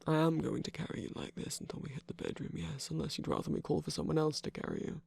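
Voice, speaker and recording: A performer of unclear sex voicing a seductive villain lover in an intimate roleplay ASMR, teasing and protective, with a husky, breathy, often whispered voice. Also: medium pitch, fairly even intonation, quick pace, a little mellow, dry recording. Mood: firm, caring.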